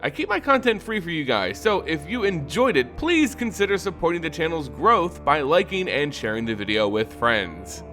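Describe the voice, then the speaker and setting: A man hosting and narrating fantasy lore in a scripted, theatrical and expressive storytelling style. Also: on the high side, normal speed, hardly any pauses, soft background music.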